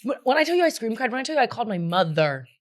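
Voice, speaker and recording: A woman's voice: fairly high pitch, sing-song, very fast. A woman co-hosting a podcast with casual, teasing banter and dramatic comic emphasis. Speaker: podcast co-host.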